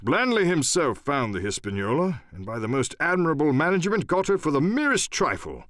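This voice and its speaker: A man voicing a pompous, excitable, boastful English country squire in an audiobook, effusive and full of exclamations. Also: a little high, fairly quick, no echo, studio quality. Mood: indignant, pleased.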